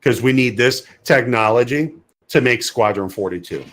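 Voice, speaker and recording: A man's voice: medium pitch, normal speed, a bit bright. Blunt, emphatic podcast co-host man. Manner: emphatic, repetitive, blunt opinions. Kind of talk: casual podcast chat.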